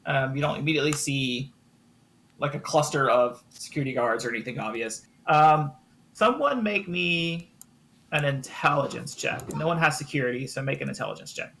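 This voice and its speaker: A man narrating a tabletop game as game master, improvising with frequent ums and pauses. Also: medium pitch, gravelly, a bit bright, slight background noise.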